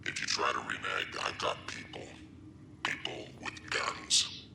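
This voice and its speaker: An extremely deep, gravel-crusted alien crime broker in a video game. The growl has a very sharp, forceful edge, and every line sounds like a threat wrapped in a business deal. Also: male voice, measured pace, whispered, heavily acted. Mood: menacing, businesslike. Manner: transactional, threatening.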